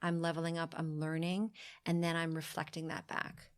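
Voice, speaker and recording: A woman's voice: low voice, little pitch movement, clean tone. Exuberant woman in her forties. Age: 40s. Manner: exuberant, emphatic, self-affirming, talks fast in bursts. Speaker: podcast guest, fitness company founder. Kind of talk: casual podcast interview.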